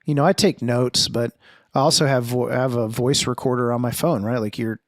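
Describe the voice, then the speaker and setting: A man's voice: medium pitch, steady tone, fairly quick, no room sound, studio quality. A forty-year-old man hosting a podcast alone, reflecting earnestly and thinking aloud, with frequent restarts and questions to himself. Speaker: podcast host. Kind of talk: reflective solo monologue.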